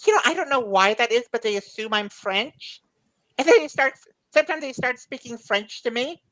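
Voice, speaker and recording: A woman with a Southern Mississippi accent talks casually as a podcast co-host. Her voice sits a little high, and the sound is close and dry. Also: animated, moderate pace, a bit bright, dry recording, low-quality recording. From Mississippi, United States. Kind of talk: casual chat.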